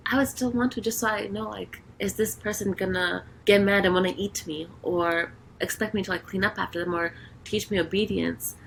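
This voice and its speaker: A woman's voice: mid-range voice, fairly even intonation, a little fast, few pauses, rough recording. A woman co-hosting a podcast in informal, giggly, conversational chat. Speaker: podcast co-host. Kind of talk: casual chat. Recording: clear background noise.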